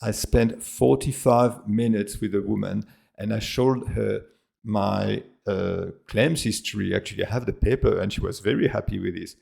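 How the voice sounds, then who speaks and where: Gravelly low man with a French accent. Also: moderate pace, darkish tone. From France and Australia. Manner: hesitant, many fillers and restarts. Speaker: expat vlogger. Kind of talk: casual talk to camera.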